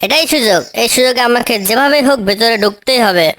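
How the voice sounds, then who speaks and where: A woman's voice: a little high, expressive, fast, fairly bright tone. A woman voicing a small, clever female bird in a children's cartoon, with a coaxing, pleading manner. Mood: secretly determined. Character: small clever female tailorbird, helpful, wheedling niece. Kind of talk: children's cartoon story dialogue.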